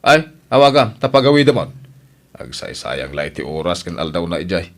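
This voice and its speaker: A man's voice: sits low, sing-song, normal speed. A man playing a demanding, irritable father in an Ilocano radio drama, scolding and nagging with an Ilocano accent. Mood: grumbling. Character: demanding, irritable father pressing his daughter for money.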